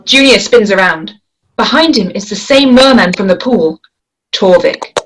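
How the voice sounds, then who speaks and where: A woman's voice: mid-range voice, lively intonation, measured pace, poor audio. A woman reading stage directions as scripted narration at a table read. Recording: faint noise and clicks.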